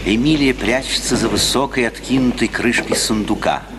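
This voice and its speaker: A man's voice: medium pitch, normal speed. A man reading stage directions as the narrator of a 1950s radio play. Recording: old noisy, hissy radio recording.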